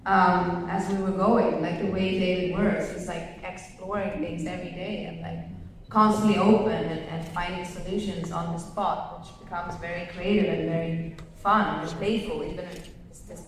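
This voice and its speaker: A woman from Iceland answering at a press conference, animated and quick to laugh. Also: fairly low pitch. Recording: echoey hall from far off with crowd.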